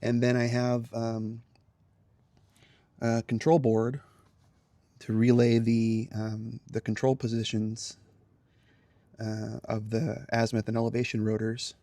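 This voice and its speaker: A man's voice: mid-range voice, monotone, slow, pauses often, dark tone, no room sound. A man giving a casual walk-through tour as host, explaining things in a plain-spoken way. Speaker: host, amateur radio hobbyist. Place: outdoors by a house, then garage and small radio room.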